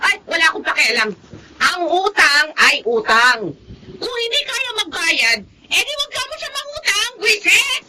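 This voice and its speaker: A woman's voice: big pitch swings, normal speed, background noise. An actress playing a hot-tempered Filipino woman storekeeper who nags and scolds in radio drama style. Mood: angry, scolding. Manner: nagging, shrill, exclamatory scolding. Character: scolding, hot-tempered female neighborhood storekeeper demanding debts.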